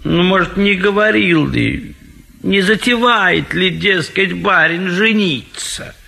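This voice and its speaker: An actor playing a fussy, indecisive gentleman bachelor in a classic comedy audio play, hesitant and given to repeating himself. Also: high voice, slow, creaky, heavily acted. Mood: fretful musing.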